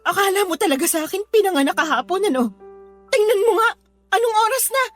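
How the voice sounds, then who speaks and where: Casting: very high-voiced wronged young wife and anxious mother, tearful radio drama delivery, music underneath. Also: animated, quick pace. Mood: tearful, angry. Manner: tearful, accusing, pleading. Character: hurt, suspicious young wife, worried mother of sick child. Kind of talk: emotional radio drama acting.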